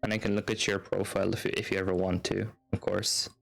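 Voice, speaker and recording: A man with a low voice and a noticeable fry chats casually on a game livestream. He rambles along in an easygoing way while game music plays faintly behind him. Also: level tone, brisk, fairly bright tone. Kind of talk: casual livestream chat, reading game text aloud. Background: video game music.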